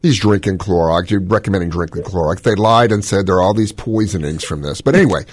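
A man's voice: low-pitched, fast, no echo, studio quality. A man with a smoky voice, a doctor hosting a call-in radio show, giving long opinionated explanations. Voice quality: smoky, gravelly voice. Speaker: cardiologist radio host.

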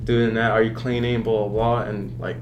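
Even-toned young man, lowish voice. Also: moderate pace. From Arkansas, United States. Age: about 20. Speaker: college student guest. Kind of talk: casual discussion. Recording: clear background hum.

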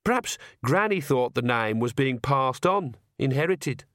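A man's voice: medium pitch, animated, measured pace, fairly bright tone, dry recording, studio quality. A man voicing a thoughtful young woman, an apprentice witch in a storybook, in an earnest, tentative way. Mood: doubtful, earnest.

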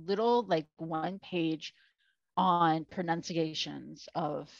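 A woman's voice: sits low, steady tone, slow, creaky, no room sound, muffled recording. A woman co-hosting a podcast in casual chat, rambling with lots of fillers. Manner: rambling, filler-heavy, self-deprecating. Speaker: podcast co-host.

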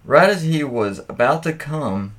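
A man's voice: fairly high pitch, expressive, a little slow, fairly bright tone. A man hosting a casual story read-aloud, speaking candidly and crudely with his own reaction asides. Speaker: host reading stories aloud with commentary.

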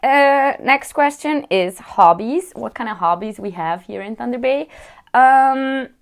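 A young woman from Switzerland, chatting casually in a vlog, laughing often and filling pauses with "like" and "um". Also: fairly high pitch, expressive. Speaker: vlogger. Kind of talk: casual chat. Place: apartment.

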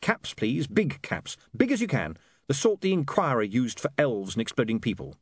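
A man's voice: medium pitch, huge pitch swings, moderate pace, slightly rough, dry recording, pitched above the performer's own voice. A man voicing an earnest, polite, determined young male newspaperman in an audiobook. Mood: urgent.

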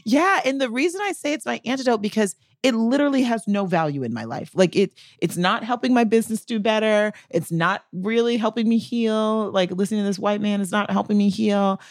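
A woman's voice: medium pitch, fairly quick, hardly any pauses, dry recording. Casual woman podcaster, dry close sound. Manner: animated, slangy and profane. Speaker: podcast co-host. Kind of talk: casual conversational podcast chat.

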